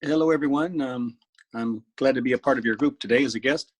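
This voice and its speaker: A man with a husky, slightly breathy voice at a middle pitch. He speaks in a relaxed, plain-spoken way, introducing himself as a club guest over a video call. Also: a little fast.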